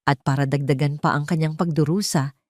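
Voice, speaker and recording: A woman with a low voice delivers scripted, dramatic story narration. The recording is dry and close, with no room sound. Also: steady tone, a little fast, dry recording.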